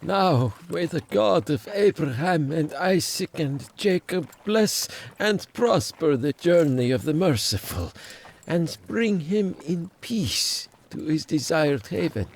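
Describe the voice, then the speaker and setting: An actor playing a weak, grateful, pious man revived from near death, speaking in a halting, reverent way for a biblical audio drama. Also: a little high, lively intonation, exaggerated voice. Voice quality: gravelly.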